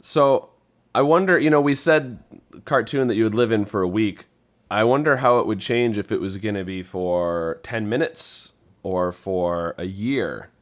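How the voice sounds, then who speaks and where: A man's voice: fairly low pitch, a little slow. A man chatting casually as a panelist on a podcast.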